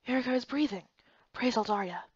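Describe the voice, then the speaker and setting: A tender young man from a fantasy game acted by a woman speaks softly with worry. The voice is hushed and gentle, recorded close and dry with a slightly muffled tone. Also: on the high side, a little fast, slightly rough, dry recording. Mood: relieved, worried. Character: gentle, worried young man, soft-spoken rescuer.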